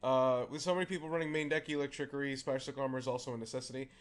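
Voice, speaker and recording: Man, raspy voice, feeling unwell, casual host, halting delivery, middling pitch. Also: steady tone. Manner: halting, many fillers and restarts. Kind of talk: casual card game deck walkthrough.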